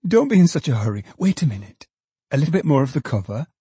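A man's voice: fairly high pitch, very animated, quick pace, dark tone, breathy edge, no room sound, voice pushed up, full character voice. A man voicing a cheerful young woman, an affectionate, teasing daughter in a storybook, with playful exclamations. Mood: delighted, teasing.

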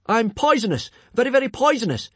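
A man voicing a plucky, quick-thinking young boy in a children's audiobook, anxious but bold, with urgent, emphatic delivery. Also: high-pitched, big pitch swings, breathy edge, dry recording, pitched above the performer's own voice, exaggerated voice. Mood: panicked.

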